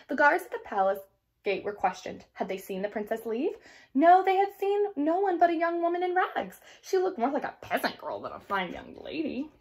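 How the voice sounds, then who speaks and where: A young woman reads a children's fairy tale aloud. Her voice sits a little high and swings up and down in an animated way. The delivery is theatrical and expressive. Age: young adult. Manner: theatrical, explanatory asides. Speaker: storybook reader. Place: small indoor room at home.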